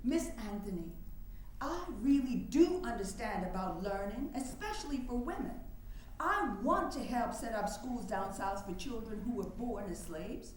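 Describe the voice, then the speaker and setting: A woman's voice: on the high side, expressive, normal speed, natural voice. A woman playing an elderly, plainspoken and proud activist with a wry, folksy manner in a staged play reading. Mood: earnest, proud. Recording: echoey room with faint noise.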